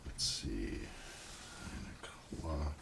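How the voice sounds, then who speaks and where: A man doing a casual ASMR roleplay, murmuring and rambling in a low-key, unhurried way, sometimes near a whisper. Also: animated, vocal fry, recorded from a distance, very noisy.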